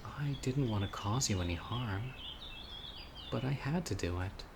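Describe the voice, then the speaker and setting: A man playing an obsessive, dying young witch in an audio roleplay, speaking to his beloved in a deliberate, intimate, pleading way. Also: mid-range voice, expressive, gravelly, background noise. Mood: calm, ominous. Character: obsessive, dying young male witch, devoted yandere lover. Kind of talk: audio roleplay, dramatic monologue to listener. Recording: outdoor nature ambience, crickets and birds. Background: insects, birdsong.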